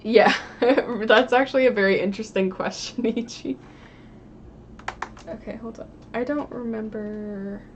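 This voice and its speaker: A woman's voice: fairly high pitch, measured pace, muffled recording, a little hiss or hum. A woman livestreaming in a casual, informal way, rambling and thinking aloud as she chats with viewers. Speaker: live streamer drawing digital art and chatting with viewers.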